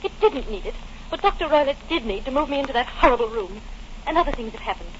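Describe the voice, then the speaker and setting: A woman's voice: high voice, lively intonation, fast. An actress playing a frightened, well-bred young English woman in a 1940s radio melodrama, intense and tremulous. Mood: dread. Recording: muffled, noisy old-time radio recording.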